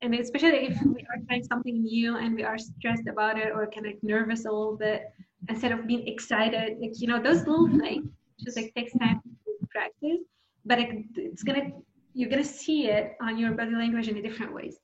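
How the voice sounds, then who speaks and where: A woman's voice: fairly high pitch, fairly quick, echoey room, muffled recording. A woman from Syria, talking casually about lessons she has learned, with many fillers. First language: Arabic. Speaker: speaker. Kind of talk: casual talk sharing lessons.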